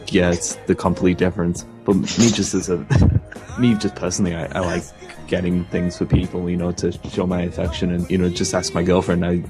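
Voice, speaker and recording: A teenage guy with a deep, monotone voice stumbles a little as he chats on a group podcast. Faint music sits underneath. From United Kingdom. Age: teens. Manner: hesitant, stammering. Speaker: podcast guest. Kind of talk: casual group chat.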